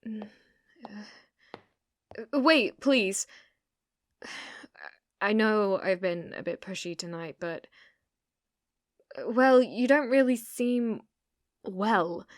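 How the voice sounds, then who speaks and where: A young British woman playing an obsessive, delusional shop cashier stalker in an intimate ASMR roleplay, halting and flustered, slipping into menacing whispers. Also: mid-range voice, lively intonation, no echo, clean studio sound. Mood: flustered, coaxing.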